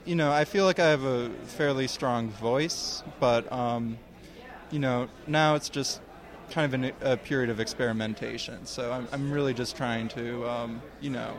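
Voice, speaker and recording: A young man speaks as a guest in a casual interview. His voice sits at a medium pitch, and he answers haltingly with many ums and pauses. The recording was made outdoors. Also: a crowd in the background. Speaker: guest, comics student.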